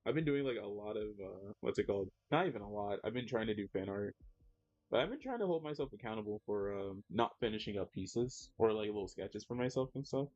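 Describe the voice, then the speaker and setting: A man in his thirties streaming as a digital artist, chatting casually with his viewers while he draws. He rambles and uses lots of fillers, and his voice jumps up in pitch when he reacts to the chat. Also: expressive, brisk, darkish tone, no echo, dull audio. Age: 30s.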